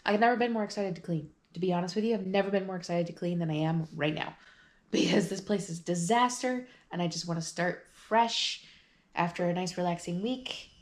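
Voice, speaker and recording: A woman chatting casually in a rambling home vlog, her voice deep and groggy from just waking up. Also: fairly quick, slight background noise. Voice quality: deep, gravelly. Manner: rambling, chatty, full of fillers. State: groggy morning voice. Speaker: vlogger. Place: her apartment.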